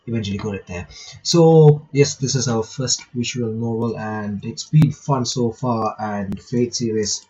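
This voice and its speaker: A man giving casual playthrough commentary and reading game text aloud, halting and often repeating his words. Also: on the low side, warm tone, dull audio, slight background noise. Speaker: gaming reaction host.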